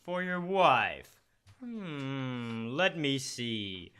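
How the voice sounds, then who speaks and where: Slow, bashful bachelor played by a young man. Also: mid-range voice, sing-song, sharp tone, clean tone, heavily acted. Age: young adult. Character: shy, lonely bachelor store clerk, bashfully smitten. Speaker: high school student actor. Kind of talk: old-time radio comedy acting. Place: school library room, live staged radio show. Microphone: shared stand microphone.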